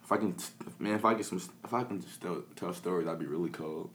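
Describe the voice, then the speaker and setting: A young man from the American Midwest, a rapper, chats in an easygoing, mumbling way, his words full of "like" and casual swearing. His voice sits very low and deep. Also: fast, fairly bright tone, a little hiss or hum. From Peoria, Illinois. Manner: laid-back, mumbly, frequent "like" fillers and swearing. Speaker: rapper and producer. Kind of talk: casual interview chat.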